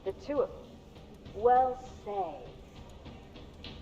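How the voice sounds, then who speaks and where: A grumbling game proprietor of a rough dive, brisk and nagging. His voice sits high for a man, with hall reverb and music around it. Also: sing-song, warm tone, highs cut off, heavily acted. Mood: annoyed. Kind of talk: video game cutscene acting.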